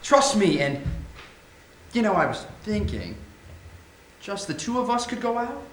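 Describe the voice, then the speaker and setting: A man's voice: high voice, very animated, unhurried. A man playing a charming, teasing doctor in a sci-fi stage reading. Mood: teasing, flirtatious. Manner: playful, teasing, theatrical. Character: charming, flirtatious, teasing male doctor in a Martian colony. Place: theatre stage. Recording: roomy theatre recording with faint noise.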